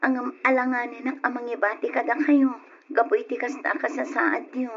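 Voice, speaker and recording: An actress playing a young, jobless college graduate and respectful daughter in an old radio drama, speaking softly. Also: female voice, on the high side, level tone, normal speed. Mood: earnest. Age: young adult. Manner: soft, respectful. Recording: dull old radio recording with faint hiss.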